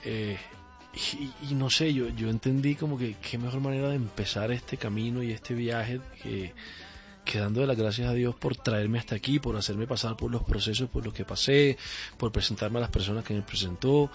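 A man's voice: medium pitch, steady tone, normal speed. A man with a Caribbean coastal Spanish accent, a guest singer in a radio interview, upbeat and reflective, laughing easily. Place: radio studio. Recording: slightly muffled radio studio with faint music.

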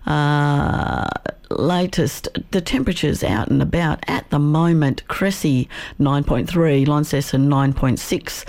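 A woman with an Australian accent presenting on community radio, relaxed and chatty, her voice croaky today. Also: low-pitched, slow pace. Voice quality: gravelly. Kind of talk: casual live radio links.

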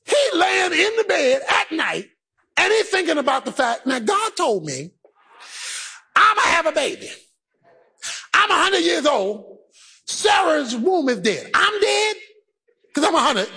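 A man's voice: very high-pitched, very animated, a little slow, pauses often, bright, forceful tone. A man preaching a sermon, calling out and half-singing his phrases, acting out the voices of the people he preaches about. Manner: preaching in a rising, half-sung chant; acts out the believer's inner voice. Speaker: pastor. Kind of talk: shouted sermon.

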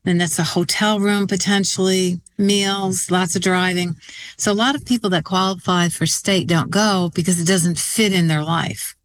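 A woman's voice: fairly low pitch, fairly even intonation, moderate pace, no echo. Seventy-year-old woman, dry close sound. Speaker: interview guest, senior games organiser. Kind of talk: conversational interview answers.

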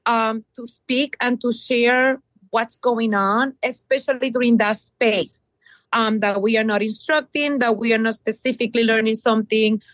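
Earnest middle-aged teacher from Puerto Rico. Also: female voice, a little high, fairly bright tone, dry recording, rough recording. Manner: earnest, many ums and pauses. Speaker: middle school bilingual teacher. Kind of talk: interview answers.

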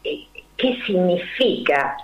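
Slow, deliberate older woman, Rioplatense accent. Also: fairly low pitch, lively intonation. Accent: Rioplatense Spanish. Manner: slow and deliberate, many fillers and rhetorical questions. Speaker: numerologist guest. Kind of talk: explanatory interview talk. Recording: roomy, dull lo-fi recording.

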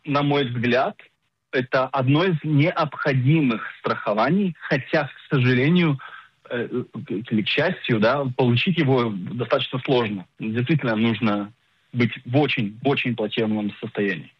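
A middle-aged man explaining things as an expert guest in a radio interview, didactic and emphatic, pausing to search for words. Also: medium pitch, slightly rough, no room sound. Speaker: insurance agent and financial planner, radio guest. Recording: dull lo-fi radio sound.

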